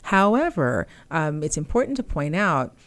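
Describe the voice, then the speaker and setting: Woman trainer, native Haitian Creole speaker, deep voice, patient explainer, dry recording. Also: very animated, moderate pace, a bit bright, no echo. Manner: explanatory, uses fillers, clear step-by-step. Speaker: medical interpreter trainer. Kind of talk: informal instructional talk.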